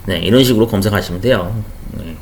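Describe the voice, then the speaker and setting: A man's voice: lowish voice, fairly quick, a little mellow, slight background noise. A man teaching an exam prep lesson over a shared screen, talking casually and often stopping to check in with rhetorical asides.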